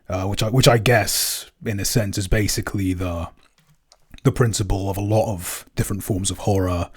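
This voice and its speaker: A British man with a fairly low voice chats on a podcast as its producer. He talks casually, making dry jokes and thinking aloud through longer answers. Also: husky. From Britain. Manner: dry, joking, thoughtful asides. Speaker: podcast producer, co-writer and composer.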